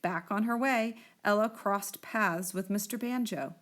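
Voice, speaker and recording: Frau, die ausdrucksvoll ein Bilderbuch vorliest. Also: female voice, mid-range voice, a little slow, a bit bright. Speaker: children's librarian reading a storybook aloud. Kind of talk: expressive picture-book storytelling for children.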